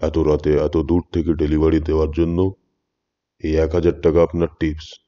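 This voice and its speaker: A man's voice: a bass voice, completely flat delivery, normal speed, mellow. A man voicing a mysterious veiled ghoul customer in a horror cartoon, grave, heavy and insistent. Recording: muffled, long cavernous reverb.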